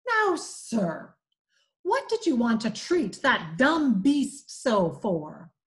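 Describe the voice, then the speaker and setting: A woman voicing a stern but soft-hearted older aunt scolding a boy. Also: on the high side, wildly swooping pitch, slow, heavily acted. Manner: scolding, exasperated.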